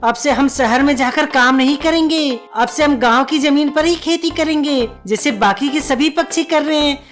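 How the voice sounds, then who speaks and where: A sensible, concerned bird husband in a moral-story cartoon, played by a woman, talks in a high, earnest voice. Music plays clearly behind the words. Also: normal speed, close to an everyday voice.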